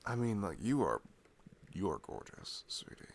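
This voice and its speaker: A man acts out a sweet college-age boyfriend in an intimate ASMR roleplay. His voice sits very low with a gravelly creak. He rambles in a casual, halting way, introverted but openly affectionate. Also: sing-song, exaggerated voice. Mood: tender, musing. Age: young adult. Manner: rambling, halting, chuckling asides.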